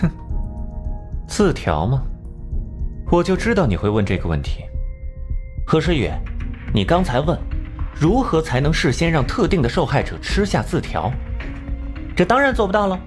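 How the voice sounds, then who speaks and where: An actor playing a cold, arrogant young male genius detective in an animated crime drama, lecturing with sardonic condescension. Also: fairly high pitch, normal speed, long pauses. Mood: mocking, smug. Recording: music under the voice.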